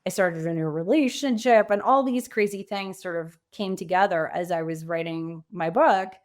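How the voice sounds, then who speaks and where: Lower-pitched middle-aged woman, emphatic talker. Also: normal speed. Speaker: podcast guest, pivot coach. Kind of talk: conversational interview answers.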